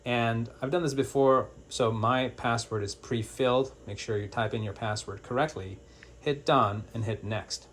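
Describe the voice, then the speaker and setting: A man's voice: mid-range voice, fairly even intonation, moderate pace, a bit bright, a little hiss or hum. A man presenting an informal step-by-step product tutorial in a plain-spoken, hands-on, instructional way.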